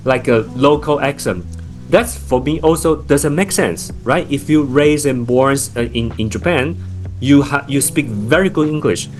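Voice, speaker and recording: A man from Taiwan with a Taiwanese accent, a startup founder speaking as a podcast guest, thoughtful with many fillers and restarts. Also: mid-range voice. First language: Chinese. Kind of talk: podcast interview.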